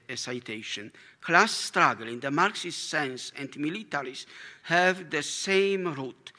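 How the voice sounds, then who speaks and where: A man's voice: fairly high pitch, sharp tone. A man from Poland with a Polish accent, a native Polish speaker, reading a prepared academic lecture in English with occasional slips and self-corrections.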